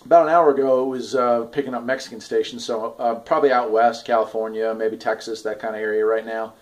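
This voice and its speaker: A man in his forties talking casually and haltingly in an off-the-cuff video review, recorded in a garage on a camera at arm's length. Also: mid-range voice, steady tone, a little hiss or hum. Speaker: product reviewer, farm owner. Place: garage, indoors.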